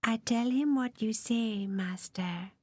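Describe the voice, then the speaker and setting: A woman's voice: fairly high pitch, slow, no room sound, pitched above the performer's own voice. A woman voicing a sly, gossiping servant woman, talking in a knowing way.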